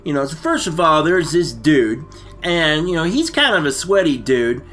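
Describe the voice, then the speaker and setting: A man with a fairly high, very lively voice tells a story as a comedy co-host. He rambles and halts in casual, slangy phrases. Music plays underneath. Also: normal speed. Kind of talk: casual comic movie recap.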